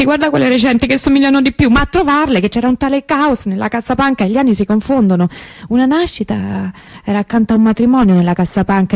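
Soft-toned, anguished young woman, played by an actress. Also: mid-range voice, no echo, close to an everyday voice. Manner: confiding, hesitant, conversational with pauses and repetitions. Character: anguished young woman recalling her missing teenage sister. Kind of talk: intimate radio drama monologue.

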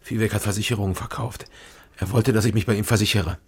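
An actor playing a terse, guarded, world-weary East German investigator in a radio crime drama, with a German accent. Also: male voice, lowish voice, steady tone, fast, breathy edge, no room sound. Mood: wary.

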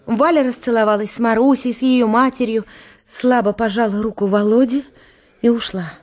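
A woman's voice: fairly high pitch, fairly even intonation, normal speed, darkish tone, close to an everyday voice. An actress playing a tender, lovestruck young woman in a literary radio drama. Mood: tender, restrained. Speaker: narrator and actress in a radio play. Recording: studio radio play with faint music.

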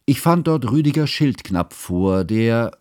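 An actor in a radio drama playing a learned chronicler, narrating in a measured, formal, literary manner. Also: male voice, mid-range voice, expressive, a little mellow, no room sound, clean studio sound, close to an everyday voice. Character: learned, formal male chronicler telling of his friend. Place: dry studio.

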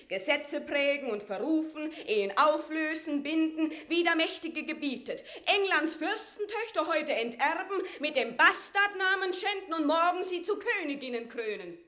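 A woman's voice: high-pitched, lively intonation, normal speed, few pauses, pushed and bright. An actress playing a proud captive Scottish queen in a classical radio drama, declaiming formal verse with eloquent, impassioned defiance. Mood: scornful, impassioned. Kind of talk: classical German stage verse radio acting.

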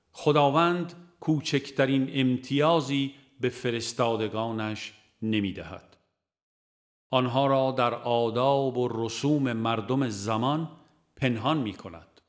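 Man narrating a religious book over music. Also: medium pitch. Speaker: audiobook narrator.

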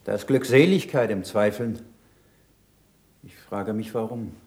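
An actor in a 1960s German radio play, playing a thoughtful, gentle pupil who questions in a measured way. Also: male voice, lowish voice. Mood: musing.

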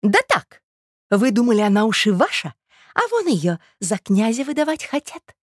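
Woman acting a sharp, high-voiced spiteful gossip. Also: big pitch swings, moderate pace, no room sound, studio quality. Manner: brusque, needling, conspiratorial.

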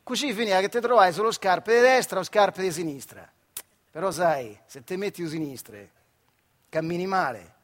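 A working-class storyteller from a radio stage monologue, a man with a fairly high voice and a central Italian Marche accent. He recalls his life in earthy, colloquial phrases. His delivery is wry and emphatic, broken by dramatic pauses. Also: expressive, fairly bright tone. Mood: wry reminiscing. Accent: central Italian, Marche dialect. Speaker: stage actor performing a monologue.

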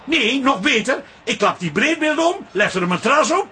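A lazy, stubborn husband in a radio comedy. The voice is high for a man and bright, whining and dryly evasive with plenty of self-pity. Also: very animated, moderate pace, exaggerated voice. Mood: scheming. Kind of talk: radio comedy drama acting.

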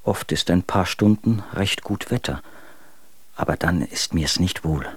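Bitter young poet-husband, deep register, dramatic letter reading for radio drama, dry studio. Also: male voice, a little slow, breathy edge, no echo. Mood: sardonic. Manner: literary, confessional, dramatic.

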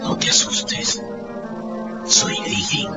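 An actor playing a wounded, weary invisible scientist in a radio drama, speaking in a breathy half-whisper with menace and insistence. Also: male voice, very slow. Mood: hushed, urgent. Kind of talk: Spanish-language radio drama acting. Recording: loud music behind.

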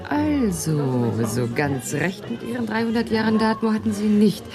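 A woman's voice: medium pitch, expressive, moderate pace, natural voice. An actress playing a cool, observant female police assistant in a 1980s German crime radio play, curious and dryly composed. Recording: studio radio play with music behind.

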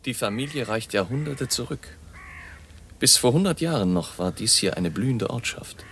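An actor playing a grave, learned baron who researches vampires in a radio drama, with a gravelly voice and a measured, ominous manner. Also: male voice, mid-range voice, animated, a bit bright, a little hiss or hum. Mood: ominous, scholarly.

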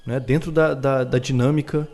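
A man's voice: mid-range voice, fairly even intonation, moderate pace, darkish tone. A man giving an explanatory, academic answer as an interview guest.

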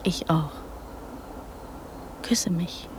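A woman acting in a radio drama as a naive, trusting, affectionate girl who speaks in halting, simple childlike phrases. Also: lowish voice, in a whisper. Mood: tender, hushed.

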